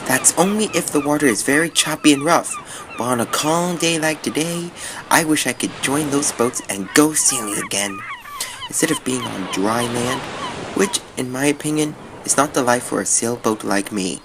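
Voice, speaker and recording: A man's voice: on the high side, huge pitch swings, moderate pace, background noise. A man playing an earnest, wistful little sailboat in an amateur audio drama, speaking expressively and politely.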